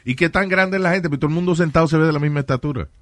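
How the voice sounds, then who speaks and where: A male radio host telling stories in casual, animated chat, switching between languages and laughing. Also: fairly high pitch, very fast, dry recording.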